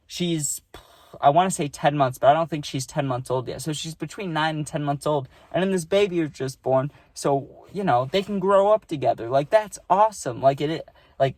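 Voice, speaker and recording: An excitable young man of about twenty tells a casual story. His voice sits a little high for a man and often jumps up as he gets worked up. The sound is close and dry, with no room around it. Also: no echo. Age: 20s. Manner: rambling, excitable, says "right?" and "dude" a lot. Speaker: vlogger.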